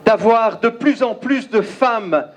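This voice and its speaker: A man from Belgium with a Belgian French accent, giving an emphatic, declamatory speech at an outdoor rally and pausing often. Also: very high-pitched, background noise. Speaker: rally speaker. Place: outdoor crowd gathering.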